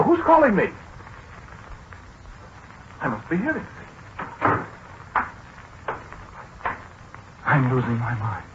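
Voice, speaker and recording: An American actor playing a fussy, exasperated middle-aged scientist who speaks hesitantly and excitably in a 1940s radio drama. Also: male voice, sits high, background noise, heavily acted. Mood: startled, alarmed. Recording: muffled 1940s radio broadcast with music.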